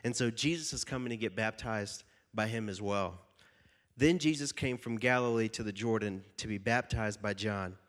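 A man's voice: lowish voice, moderate pace, a bit bright. A young man with a stuffy, slightly nasal voice from a cold, preaching casually and jokingly to a youth group and reading scripture aloud. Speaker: youth pastor.

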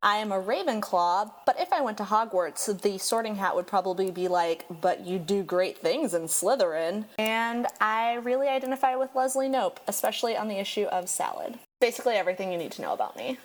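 A woman's voice: medium pitch, expressive, brisk, hardly any pauses. A woman in her twenties, a podcast co-host, chatting casually in a wry, laid-back way. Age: 20s. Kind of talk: casual chat.

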